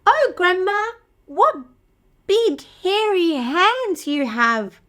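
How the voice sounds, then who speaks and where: A woman's voice: very high-pitched, sing-song, very slow, a bit bright, voice pushed up, full character voice. A woman voicing a sweet, innocent little girl in a children's fairy tale, with a sing-song, wide-eyed delivery. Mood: wondering, uneasy.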